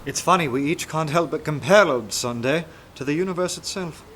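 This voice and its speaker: An actor playing an earnest, awestruck detective who narrates a radio drama in an intense, dramatic manner. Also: male voice, a little high, fairly quick, slight background noise, lightly acted. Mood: agitated. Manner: intense, dramatic, hushed at times.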